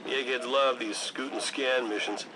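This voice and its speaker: An actor playing a gruff, wry older male soldier who gives folksy mission orders in a video game, with a gravelly voice. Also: medium pitch, fairly even intonation, moderate pace, noisy background, lightly acted. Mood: wry, brisk.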